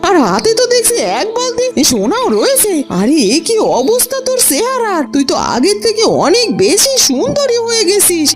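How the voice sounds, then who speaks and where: A greedy middle-aged village aunt from a fairy-tale cartoon, played by a woman, speaks in a high voice that swoops up and down. She exclaims and bullies, with music playing loudly behind her. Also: sing-song, moderate pace, hardly any pauses. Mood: astonished, prying. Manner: exclamatory, wheedling and threatening.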